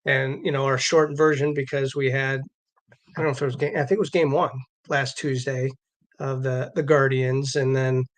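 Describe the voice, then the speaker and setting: A man co-hosting a show in casual sports chat, halting and repeating words as he goes. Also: medium pitch, flat delivery. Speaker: show owner and co-host.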